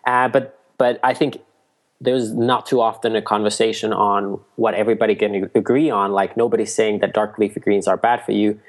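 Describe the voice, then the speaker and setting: A man, a guest in a podcast interview, explaining things in a steady way. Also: fairly low pitch, a little fast. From Finland. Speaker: guest, mushroom food entrepreneur. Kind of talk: casual interview talk.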